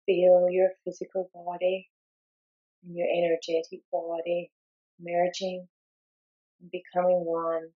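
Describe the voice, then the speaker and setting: A woman leading a guided meditation, giving gentle, soothing instructions in short, unhurried phrases with long pauses. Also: lowish voice, completely flat delivery, dull audio.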